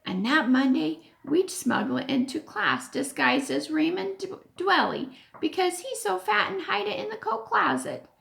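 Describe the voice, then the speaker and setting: High-voiced woman reading a story aloud, very animated. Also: normal speed, vocal fry. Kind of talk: expressive children's story read-aloud.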